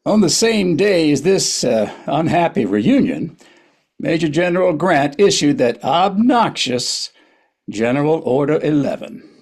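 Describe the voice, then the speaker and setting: A man acts as a wry narrator for a historical stage play. His voice is husky and gravelly, slightly high, telling the story from a script. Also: big pitch swings, unhurried, a little mellow, full character voice.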